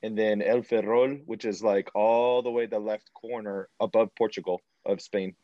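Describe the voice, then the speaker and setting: A man co-hosting a podcast, chatting casually in a halting way with many pauses and fillers. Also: lowish voice, steady tone, no echo. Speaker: podcast co-host. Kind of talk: casual interview chat. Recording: dull lo-fi audio.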